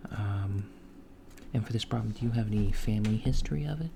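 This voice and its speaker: A man voicing a calm alien doctor in a softspoken ASMR roleplay, talking in a hushed, partly whispered way with an unhurried, hesitant manner. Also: lowish voice, creaky, noisy background. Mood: calm clinical. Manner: unhurried, hesitant, with many fillers and murmured acknowledgments. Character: calm alien doctor examining a human patient.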